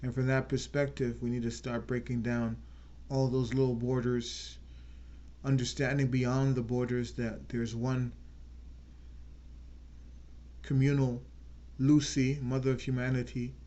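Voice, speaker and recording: A man hosting his own channel, giving an earnest unscripted political talk and slowing down to stress key words. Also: medium pitch, little pitch movement, dark tone, muffled recording. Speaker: channel host.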